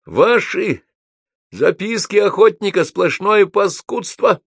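A man's voice: high-pitched, expressive, slow, creaky, a bit bright, husky, dry recording, clean studio sound, pitched above the performer's own voice, full character voice. A man voicing a belligerent male writer who barks and bellows in a furious temper. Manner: barking, bellowing.

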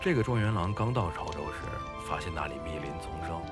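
A man playing a gentle, earnest, bookish boatman in a Chinese period audio drama, easily flustered. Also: deep voice, fast talker, over loud music. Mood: storytelling. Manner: earnest, expressive. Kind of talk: Chinese audio drama acting, dialogue and monologue.

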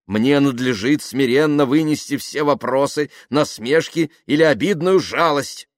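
A man's voice: mid-range voice, dry recording, studio quality, pitched above the performer's own voice, close to an everyday voice. Man acting a wounded, theatrically pious novice. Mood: solemn suffering. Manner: meek and measured, swelling into indignant protest.

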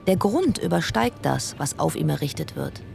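A cryptic thinker in a radio play, very low lively voice, pointed. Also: female voice, moderate pace, gravelly, faint music behind, exaggerated voice. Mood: musing. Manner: aphoristic, pointed. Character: philosophising woman in a cryptic radio-play chorus. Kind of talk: scripted experimental radio drama.

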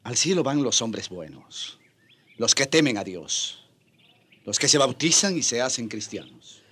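An actor in a radio drama playing a stern, pious Spanish chaplain with a husky voice and a preachy, urging manner. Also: male voice, medium pitch, sing-song, unhurried, fairly bright tone, full character voice. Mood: preaching. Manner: preachy, urgent.

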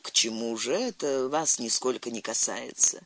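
A narrator voicing a moody, evasive gentleman in an audiobook, curt and enigmatic. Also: medium pitch, moderate pace, no echo, pitched below the performer's own voice, close to an everyday voice. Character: sullen, evasive younger man, princess's lover.

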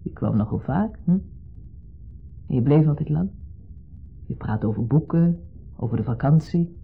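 A woman playing a conciliatory wife in a 1970s radio play, placating and earnest, sometimes hushed. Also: low voice, animated, normal speed, gravelly, husky, faint music behind, full character voice. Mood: gently reproachful. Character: conciliatory wife caught between husband and ex. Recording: muffled phone-like old radio recording.

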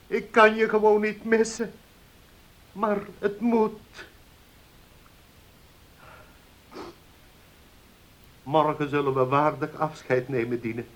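An actor playing a stern, pious old uncle in a Dutch radio play, speaking in a solemn, emphatic way. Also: male voice, a little high, rough recording. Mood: resigned, tender. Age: older.

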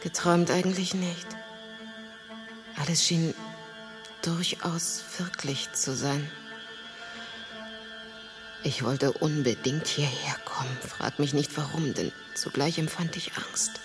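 A haunted young gentlewoman in a radio play. Her voice is low and creaky, hushed and dreamy in delivery. Music plays clearly behind her. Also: slow pace, long pauses. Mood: entranced, dreamy. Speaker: actress in radio drama. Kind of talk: German radio play acting.

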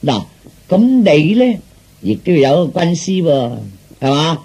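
A man voicing a glib, flattering fortune teller in Cantonese, with theatrical sales patter. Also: a little high, a little slow, breathy edge, exaggerated voice. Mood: scheming, persuasive. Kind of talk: Cantonese storytelling performance.

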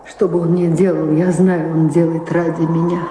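A woman's voice: low voice, flat delivery, moderate pace, natural voice. Actress as imperious, worried lady of the castle; theatrical radio-play delivery, long reverb, noisy recording. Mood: earnest, insistent. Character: anxious imperious married noblewoman, mistress of a castle. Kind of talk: audio play stage acting.

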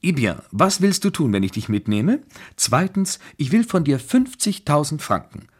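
A man's voice: a little high, very animated, normal speed, a little mellow, breathy edge, voice pushed up. A man voicing a chattering, flirty French courtesan. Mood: coquettish. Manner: chattering, mixing in French phrases. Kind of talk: audiobook character voice.

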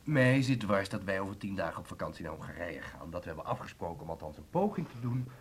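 An actor playing an irritated, good-naturedly grumbling husband in a naturalistic radio drama, speaking colloquially. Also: male voice, deep voice, big pitch swings, fast talker, slight background noise.

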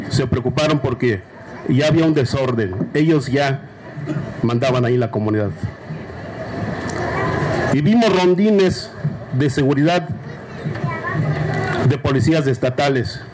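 A man from Oaxaca with a Mexican accent, giving a public speech at a community forum in slow, deliberate short phrases with pauses. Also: a little high, noisy background.

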